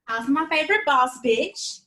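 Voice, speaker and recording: A woman plays a breezy young mom who pushes and coaxes. Her voice is high and very lively in pitch, recorded in an echoey room for a script table read. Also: measured pace, heavily acted. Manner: perky, persuasive. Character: Tiffany, breezy, pushy young mother wheedling her friend. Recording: roomy, echoing sound.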